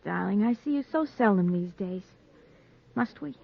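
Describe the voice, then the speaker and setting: An actress playing a reporter's worried, affectionate young fiancee, earnest and tender, in a 1940s radio drama. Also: female voice, mid-range voice, expressive, normal speed, creaky. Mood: playful, tender. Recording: muffled vintage radio recording.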